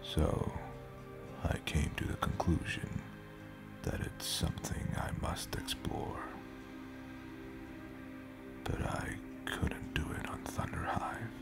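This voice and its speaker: A man acting a cold, condescending space pirate captain and father in an audio drama, with a gravelly voice that drops into breathy whispers. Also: a bass voice, expressive, over loud music, heavily acted. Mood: brooding, measured. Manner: slow, measured, detached, menacing. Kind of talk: scripted audio roleplay drama.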